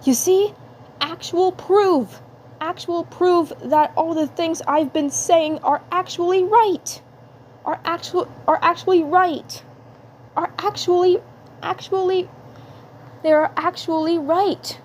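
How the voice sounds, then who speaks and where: A woman voicing an excitable, insistent cartoon schoolboy who speaks emphatically and repeats himself. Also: extremely high pitch, takes it slowly, slight background noise, pitched above the performer's own voice. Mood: excited, vindicated. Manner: emphatic, pleading, repeats phrases.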